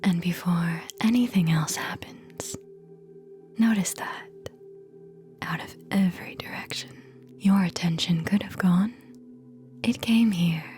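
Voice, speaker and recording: A woman guiding a whispered ASMR sleep meditation in a soothing, hypnotic manner, speaking in short phrases with long pauses. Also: on the low side, darkish tone, soft background music.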